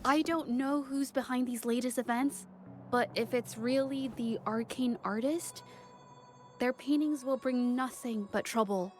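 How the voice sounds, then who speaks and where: A woman's voice: a little high, measured pace, music in the background, natural voice. A woman playing a shy, earnest young artist in a video game, speaking in a hesitant, apologetic way.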